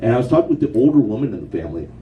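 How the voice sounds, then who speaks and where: A man's voice: medium pitch, very animated, rapid-fire, echoey room, recorded from a distance, phone-quality sound, faint music behind. A man in his fifties doing a loose, rambling stand-up set at a small comedy night, with lots of "man" and "bro" fillers and an audience laughing around him. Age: 50s. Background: audience laughter and heckles.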